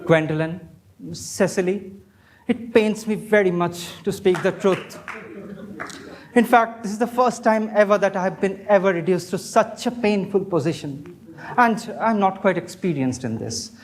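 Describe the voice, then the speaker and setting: A young man playing a flustered Victorian gentleman in a stage comedy, halting and blustering theatrically. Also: sits high. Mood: reluctant confession. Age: young adult. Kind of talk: amateur stage play performance.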